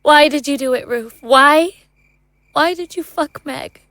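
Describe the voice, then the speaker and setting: A woman's voice: high voice, measured pace, sharp tone, no room sound. A woman playing a bitter, betrayed and vengeful woman in a horror audio drama. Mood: anguished, bitter.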